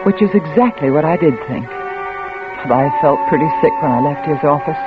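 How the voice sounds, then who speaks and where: A woman's voice: sits low, animated, fairly quick. An actress playing an anxious, stubborn young woman investigator in a 1940s radio thriller, with a dramatic delivery that stammers when rattled. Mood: tense narrating. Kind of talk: 1940s radio thriller acting with first-person narration. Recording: tinny old radio recording with music.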